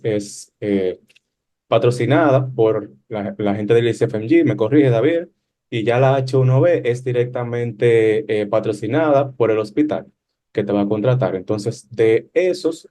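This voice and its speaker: A man's voice: mid-range voice, warm tone. A young man with a Dominican accent, an incoming medical resident, explaining carefully with frequent hesitant fillers. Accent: Dominican Spanish. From Dominican Republic. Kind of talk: casual panel chat.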